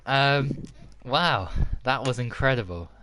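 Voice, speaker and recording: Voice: medium pitch, lively intonation, slow pace, sharp tone, no room sound. A host commentator on a sim racing livestream, enthusiastic, with many ums and exclamations. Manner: enthusiastic, filler-heavy.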